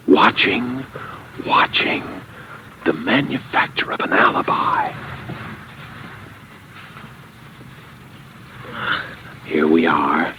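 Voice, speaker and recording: Deep-voiced, cold scheming murderer. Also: male voice, muffled recording, a little hiss or hum. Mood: furtive, strained. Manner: theatrical, brooding, halting self-talk. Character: cold, desperate male murderer scheming his perfect crime. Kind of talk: vintage radio drama inner monologue. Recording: band-limited old radio recording with music behind.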